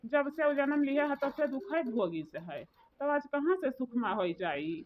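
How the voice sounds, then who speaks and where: A woman's voice: sits high, animated, moderate pace. A woman with a rural eastern Uttar Pradesh accent voicing a grieving, indignant village father in a comedy cartoon, lamenting and repeating himself. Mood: sorrowful. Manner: lamenting, repetitive. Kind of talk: dialect comedy cartoon dialogue. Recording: phone-like narrow lo-fi audio.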